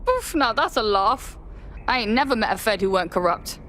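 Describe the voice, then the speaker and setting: A mouthy London street rebel, fast and fairly high. She is blunt, slangy and cynical, in video game style. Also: lively intonation, fairly bright tone, slight background noise. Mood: scornful.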